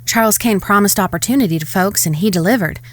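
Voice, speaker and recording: A composed, sharp-tongued frontier woman played by an actress in a western audio drama. Her voice is mid-pitched, stern and guarded, recorded very dry. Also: quick pace, dry recording, close to an everyday voice. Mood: grave, scornful.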